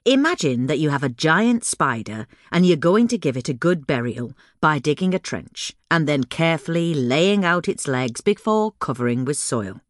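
A woman's voice: deep voice, sing-song, normal speed, few pauses, no echo, studio quality. A woman reading a scripted magazine feature aloud as narration. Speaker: feature reader.

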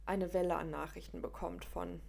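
A woman's voice: fairly low pitch, little pitch movement, moderate pace, vocal fry, a little mellow. A woman co-hosting a podcast in casual chat, with a regional German dialect and a speech impediment, talking hesitantly with many fillers. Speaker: podcast co-host.

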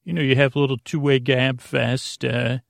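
An American man hosting a sleep podcast in a meandering, halting monologue, stumbling and trailing off mid-thought in a gravelly voice. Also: medium pitch, level tone, no room sound, clean studio sound. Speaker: podcast host. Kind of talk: rambling bedtime monologue to help listeners sleep.

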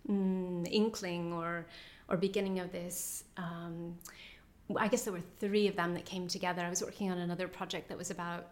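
A woman with a fairly low voice talks about her writing in a relaxed interview. She pauses a lot and fills the gaps with "um" while she thinks. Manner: thoughtful, hesitant, many pauses and fillers. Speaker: novelist being interviewed. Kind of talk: reflective conversational interview.

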